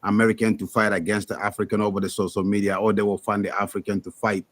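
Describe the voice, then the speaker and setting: A man's voice: lowish voice, level tone, fast, dry recording. A man on a live call-in discussion, rambling with loose, broken grammar. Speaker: call-in participant.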